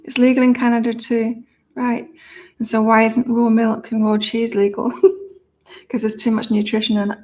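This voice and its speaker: A woman's voice: a little high, level tone, normal speed, a little mellow. A middle-aged woman from the UK hosting a casual live psychic chat, rambling and repeating herself as she reads out viewer comments. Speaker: psychic livestream host.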